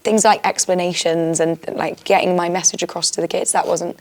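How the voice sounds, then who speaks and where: A young British woman with a slightly low voice that stays quite flat in pitch. She talks in a candid, chatty way, as a teacher reflecting in a relaxed conversation. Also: a little fast. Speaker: trainee-turned-early-career history teacher.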